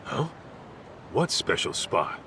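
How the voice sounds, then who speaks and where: An actor playing a gruff, blunt middle-aged detective with a wounded heart and a halting delivery, in a video game cutscene. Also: male voice, pushed and bright, a little hiss or hum. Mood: reluctant. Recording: studio voice with music behind.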